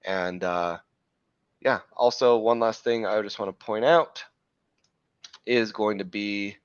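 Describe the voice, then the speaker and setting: A man hosting a live crypto trading show, talking through chart analysis in a rambling way, correcting himself, with a slightly hoarse voice from losing it. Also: fairly low pitch, takes it slowly, dry recording, dull audio. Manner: rambling, self-correcting, frequent "right?" checks.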